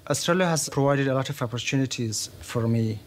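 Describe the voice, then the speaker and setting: A man in his thirties from Afghanistan recounting his journey in a documentary interview, plain and thoughtful with pauses. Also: medium pitch, slight background noise. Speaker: interviewee, refugee. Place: studio interview set, indoors.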